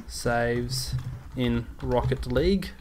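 A man co-hosting a podcast in casual banter, sometimes reading text aloud, with a halting, amused and exasperated manner. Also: medium pitch, darkish tone, a little hiss or hum. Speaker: podcast co-host.

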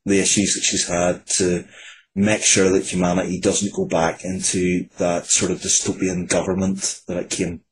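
A man with a very deep voice gives explanatory interview answers as a writer guest. His delivery is halting, with repeated words, and faint noise sits behind him. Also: flat delivery, poor audio.

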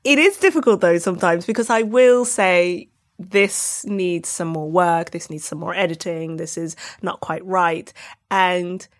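A woman's voice: fairly low pitch, unhurried. A middle-aged woman chatting casually as a podcast guest who produces and directs. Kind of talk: casual chat.

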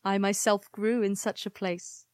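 Woman acting a slow, solemn historian of plant folk. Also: mid-range voice, little pitch movement, a little mellow, smooth, dry recording, studio quality, natural voice. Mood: solemn, measured. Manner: formal, measured, solemn. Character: grave, weary plant-people historian recording testimony, proud and regretful. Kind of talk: audio drama monologue.

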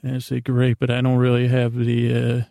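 A tired middle-aged man with a creaky voice hosts a bedtime podcast, meandering and self-deprecating, halting with long pondering pauses. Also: mid-range voice, completely flat delivery, dark tone, no room sound, studio quality. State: tired, worn down. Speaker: podcast host and bedtime storyteller.

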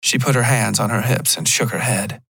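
Creaky-voiced man narrating dark fiction. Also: on the low side, steady tone, normal speed, dry recording. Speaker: narrator of a horror fiction podcast. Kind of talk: first-person scripted fiction narration. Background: guitar music.

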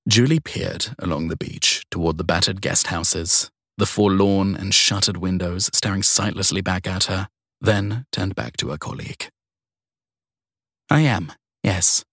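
A man's voice: low-pitched, normal speed, pushed and bright, breathy edge, no room sound, clean studio sound. A man reading crime fiction as a scripted audiobook narration.

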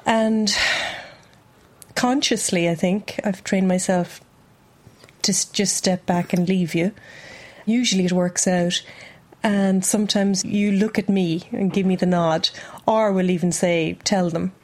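A woman speaking as an old friend in a documentary interview. She talks in a measured, thoughtful way and questions her own reactions. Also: medium pitch, steady tone, darkish tone. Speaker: longtime friend.

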